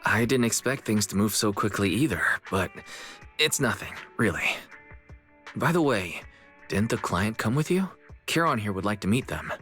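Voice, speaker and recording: A man's voice: lowish voice, expressive, normal speed, a bit bright. An actor playing an earnest, easygoing young man in a video game, speaking politely and conversationally. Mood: puzzled. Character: kind, earnest young male shopkeeper and secret guide, easygoing. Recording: music under the voice.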